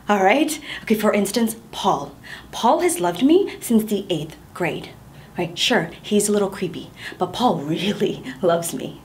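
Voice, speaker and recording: Woman acting a desperate young wife, high voice, nervous laughter, rambling, pleading, stage monologue. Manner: laughing through near tears, rambling. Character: young wife frantically pleading against divorce.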